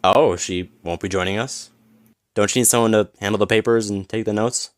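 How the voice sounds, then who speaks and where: Man acting a smug flirtatious businessman, lowish voice. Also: steady tone, a little fast, dry recording, clean studio sound, natural voice. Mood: needling, smug. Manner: teasing, smooth-talking, mock-polite. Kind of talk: scripted audio roleplay acting.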